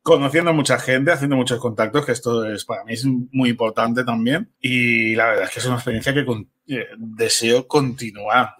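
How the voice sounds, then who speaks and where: A man's voice: medium pitch, fairly even intonation, moderate pace, hardly any pauses, a bit bright. A man with an accent from Spain talking casually as an interview guest, emphatic and often repeating his words. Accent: Spanish from Spain. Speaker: podcast guest, filmmaker.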